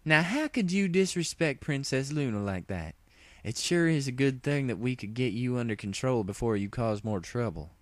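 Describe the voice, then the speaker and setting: A man's voice: mid-range voice, animated, moderate pace, dry recording, pitched above the performer's own voice, lightly acted. A man voicing a folksy female country pony with a polite, backcountry manner in a story reading. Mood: scolding.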